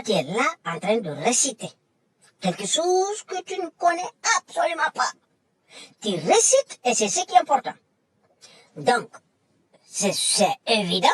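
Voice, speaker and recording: A woman's voice: a little high, husky, no echo. A woman delivering an emphatic, lecturing monologue that repeats its points. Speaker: commentator. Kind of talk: impassioned monologue.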